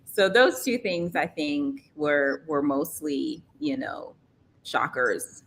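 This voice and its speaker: A woman's voice: low-pitched, slow pace, a little hiss or hum. A woman in her thirties from Trinidad with a Trinidadian accent, a podcast guest telling stories with frequent laughter. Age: 30s. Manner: laughs often, lively storytelling. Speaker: guest, model agency founder. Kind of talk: podcast interview.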